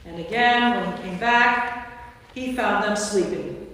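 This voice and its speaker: A woman's voice: mid-range voice, unhurried, a bit bright. Echoing woman narrating scripture. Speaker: narrator, priest. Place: church, recorded from a distance. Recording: very echoey church, distant mic, faint noise.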